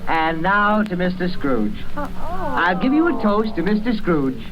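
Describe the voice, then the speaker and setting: A meek, devoted father in an old radio drama, gentle and kindly. His pitch moves around widely, and the recording is dull with a small crowd behind him. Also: sing-song, measured pace, heavily acted. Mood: festive. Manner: gentle, mildly reproving. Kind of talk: 1930s radio drama acting.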